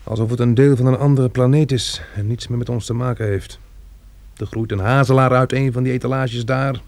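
A man acting in a radio drama as a practical, sober farmer surviving a catastrophe, speaking in a measured, matter-of-fact way. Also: medium pitch, fairly even intonation, darkish tone, natural voice. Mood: grave, resigned.

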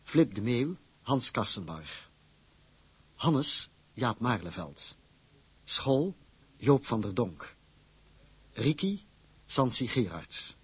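A man announces radio drama credits in a formal, measured way. His voice is very husky and slow, recorded dry and close. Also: medium pitch, sing-song, a bit bright, no room sound. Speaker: announcer reading closing credits.

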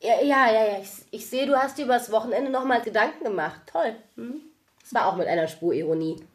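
A woman's voice: on the high side, expressive, a little fast, reverberant, natural voice. A woman playing a sarcastic young actress.

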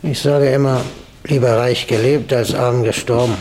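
An actor playing a chatty, cynical jail guard in a crime radio play. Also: male voice, mid-range voice, fairly even intonation, normal speed, darkish tone, a little hiss or hum, lightly acted, poor audio.

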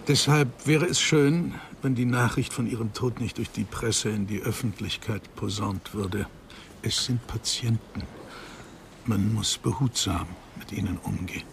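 Dignified, guarded professor. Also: male voice, lowish voice, unhurried, gravelly, a little hiss or hum. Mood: guarded, grave. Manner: careful, formal, discreet. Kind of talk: German radio crime drama acting.

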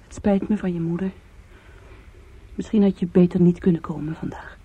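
A woman playing a tender, anxious girlfriend in a radio drama, soothing and concerned, with a breathy voice. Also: fairly low pitch, steady tone, moderate pace, slight background noise, lightly acted. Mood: tender, consoling. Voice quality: breathy, husky. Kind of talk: Dutch radio drama acting. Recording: thin, narrow-band old radio recording.

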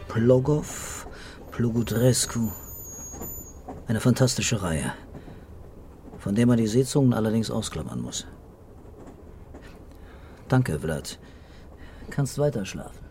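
Police commissioner, brooding, terse questioning, mid-pitched, radio crime drama, faint music behind. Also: male voice, lively intonation, slow pace, vocal fry, pauses often, darkish tone. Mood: musing. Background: music and vehicle ambience.

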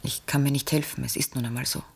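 A woman's voice: rumbling low, fairly even intonation, quick pace, gravelly, darkish tone, breathy edge, exaggerated voice. An actress playing a fatalistic young lady in a German radio drama, speaking in a cool, self-possessed manner. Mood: fatalistic, detached. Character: detached, fatalistic young lady, daughter of the house.

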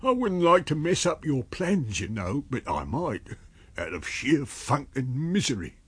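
A man's voice: mid-range voice, very animated, unhurried, slightly rough, dry recording, pitched above the performer's own voice. A man voicing a miserable, frightened tramp who whines and pleads hesitantly with his captor in an audiobook story. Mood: miserable pleading.

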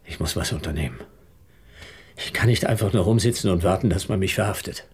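An actor in a German radio drama playing an accused husband, tense and husky, who questions people urgently. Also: male voice, low-pitched, a little fast, faint music behind. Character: accused husband, tense man pressing for answers.

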